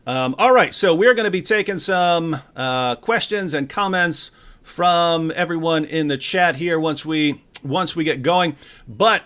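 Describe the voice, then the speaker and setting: A man with a fairly high-pitched voice hosts a livestream interview. His delivery is casual and conversational, with a playful, jokey touch of showmanship. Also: a little slow, fairly bright tone. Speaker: host.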